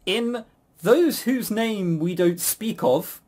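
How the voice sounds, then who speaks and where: Male quiz host, slightly high voice, casual banter with a playful, needling edge. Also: slow, a little mellow. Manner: teasing, jokey.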